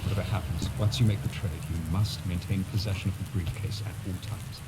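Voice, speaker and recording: An actor playing a stern, cautious army major, briefing in a clipped, serious way. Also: male voice, deep voice, fairly even intonation, fairly quick, close to an everyday voice. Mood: stern, urgent. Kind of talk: cinematic war game acting. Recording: heavy vehicle and street noise. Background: loud outdoor vehicle ambience.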